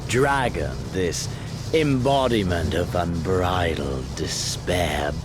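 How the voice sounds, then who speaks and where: A man's voice: sits low, slow pace, music in the background. An actor playing a bloodthirsty, aristocratic warlord in a video game, theatrical and grandiloquent, savouring each phrase like a hunter with his prey. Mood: rapt, menacing. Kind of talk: dramatic video game voice acting.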